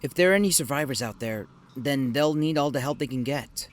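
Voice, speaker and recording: A man's voice: mid-range voice, brisk, lightly acted. An actor playing an earnest, determined former policeman stranded in a primeval world, in an audio drama. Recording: music under the voice.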